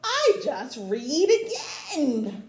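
High-pitched, lively woman reading to children. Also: extremely slow. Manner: expressive, encouraging, addressing young children. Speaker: teacher reading children's books aloud. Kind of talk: storybook read-aloud with chatty asides to children. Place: small room at home, indoors. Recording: echoey small room.

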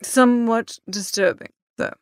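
Unflappable manservant played by a woman, formal and measured, deferential, audiobook, slightly high voice, dry close sound. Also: fairly even intonation, no echo, lightly acted. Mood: calm, deferential. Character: polished, unflappable, deferential English gentleman's manservant. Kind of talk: audiobook character reading.

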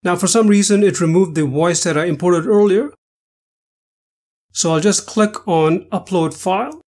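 A man presenting a software tutorial, narrating each step in an instructional way. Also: high voice, normal speed. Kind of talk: scripted screen-capture tutorial narration.

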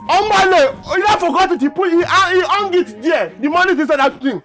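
A man's voice: high voice, expressive, fairly quick. A man with a Nigerian accent playing a cheeky, scheming, food-loving workshop sidekick in a comedy skit, chatty and exaggerated. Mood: excited. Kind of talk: comedy skit acting in Pidgin and Yoruba. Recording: music over the dialogue. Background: music.

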